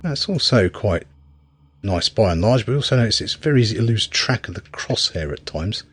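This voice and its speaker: A man's voice: fairly low pitch, expressive, moderate pace, a bit bright, slight background noise. A man giving casual gameplay commentary as a reviewer, often hesitant and thinking aloud. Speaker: video game reviewer.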